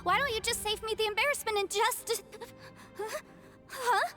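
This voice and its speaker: High-voiced, earnest young female peacemaker. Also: moderate pace, pushed and bright, faint music behind. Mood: anxious, pleading. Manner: polite, earnest, fretful. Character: earnest, anxious young woman consultant, polite peacemaker.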